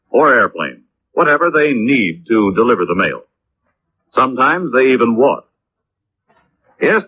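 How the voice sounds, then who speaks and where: Husky, animated old-time radio announcer, male. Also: medium pitch. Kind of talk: 1950s scripted radio public-service announcement. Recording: muffled old radio recording.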